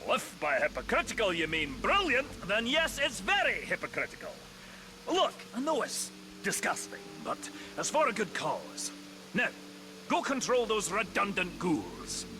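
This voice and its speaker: Wildly animated, grumbling dwarf hunter. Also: male voice, high-pitched, noisy background. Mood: wry, coaxing. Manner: theatrical, halting, grumbling delivery with drawn-out emphasis. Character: gruff, squeamish but scheming dwarf hunter, comically exasperated. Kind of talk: video game cutscene acting.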